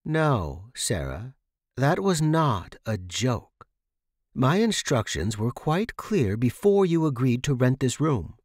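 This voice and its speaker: A man voicing a stern, bossy landlady in audio fiction, giving brisk, clipped instructions. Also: medium pitch, very animated, darkish tone, dry recording, clean studio sound. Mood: stern, exasperated. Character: stern, bossy woman landlady with strict house rules. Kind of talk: audio fiction character reading.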